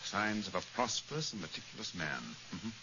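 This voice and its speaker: An actor playing a keen, commanding British detective in 1940s radio style, speaking in a crisp, deliberate, authoritative manner. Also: male voice, lowish voice, animated, lightly acted. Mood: analytical, brisk. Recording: dull, noisy old radio recording.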